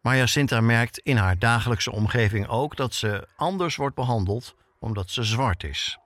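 A man with a mid-pitched voice narrates as a reporter. He delivers prepared documentary narration. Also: normal speed, a bit bright. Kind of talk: scripted narration.

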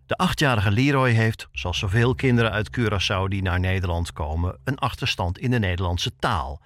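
A man's voice: fairly low pitch, normal speed, fairly bright tone, no echo. A man in his fifties narrating a personal podcast from a script, as its host and reporter. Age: 50s.

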